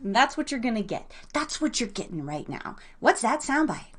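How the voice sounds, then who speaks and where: Woman w/ kinda high voice hosting a live guessing game, casual n slangy, excitable radio chat. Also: normal speed. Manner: excitable, informal, slangy cheering of players. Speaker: live show host running a guessing game.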